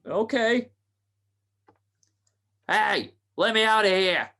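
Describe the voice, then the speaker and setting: A man acting a nervous, slow-witted gangster's henchman in a radio play, hesitant and stammering, with slangy speech. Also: high-pitched, animated, pushed and bright, full character voice. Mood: impatient, demanding.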